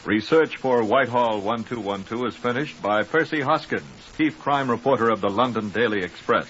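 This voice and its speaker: A man's voice: medium pitch, normal speed, a bit bright. A man announcing a programme in a formal 1950s radio style. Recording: muffled, crackly old radio recording.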